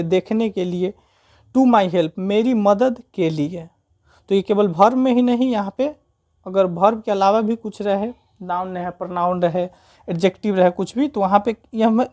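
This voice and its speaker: Mellow Indian woman teaching grammar. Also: mid-range voice. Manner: repetitive step-by-step explaining, frequent check-in tags. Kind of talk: informal explanatory grammar lesson.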